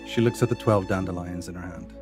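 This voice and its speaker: A man's voice: low voice, steady tone, quick pace. A man narrating a scripted audio drama as its storyteller. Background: music.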